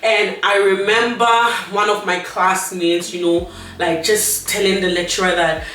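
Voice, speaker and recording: A young woman with a Ghanaian accent, a guest telling stories in a casual chat. She tells them in an animated way, acting out the people she talks about. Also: medium pitch, a little slow. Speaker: vlog guest. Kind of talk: casual storytelling. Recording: echoey room, far from mic, faint noise.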